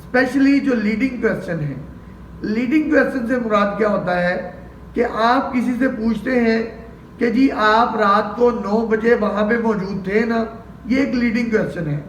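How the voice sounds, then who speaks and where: A man's voice: high voice, moderate pace, a bit bright. A man with a Pakistani accent giving an explanatory law lecture in a didactic way, posing questions and then answering them. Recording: roomy, distant mic, faint noise.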